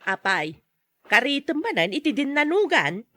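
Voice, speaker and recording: Woman acting a bright, stern Ilocano scolder. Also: high-pitched, very animated, normal speed, no echo, clean studio sound, heavily acted. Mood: stern, scolding. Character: stern, refusing woman rebuffing a drunkard. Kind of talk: Ilocano radio-style drama acting.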